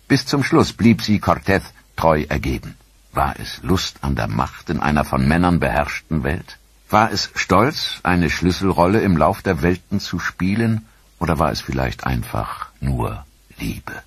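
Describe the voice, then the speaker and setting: A man narrating a documentary in a dramatic, expressive scripted manner with rhetorical questions. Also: rumbling low, slightly rough, no echo.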